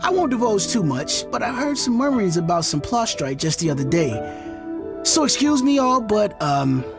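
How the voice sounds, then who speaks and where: A woman's voice: medium pitch, big pitch swings, normal speed, music in the background. A woman voicing a sly, secretive female trader who talks in a coy, gleeful way.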